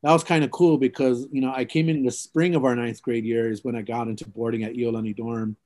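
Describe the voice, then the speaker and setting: Man, middle-aged, reflective, rambling, restarts often, mid pitch, dry recording. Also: flat delivery, brisk, darkish tone, no room sound. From Hawaii. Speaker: interviewee. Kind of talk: oral history interview.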